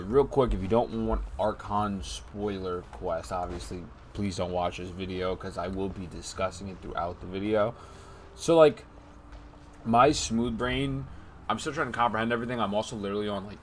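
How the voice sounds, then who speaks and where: Young man, game streamer, lowish pitch, bright punchy tone, slangy casual commentary. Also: a little hiss or hum. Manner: slangy, informal.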